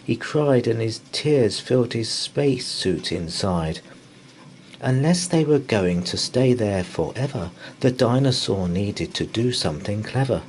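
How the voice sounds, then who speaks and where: A man reading a children's bedtime story aloud in rhyme, with an expressive, theatrical delivery. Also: medium pitch, measured pace, slight background noise, rough recording.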